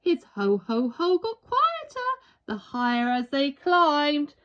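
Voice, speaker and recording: Animated woman reading a children's story. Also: sits high, dull audio. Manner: theatrical, deliberate, storytelling. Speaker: storybook reader. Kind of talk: expressive children's read-aloud narration.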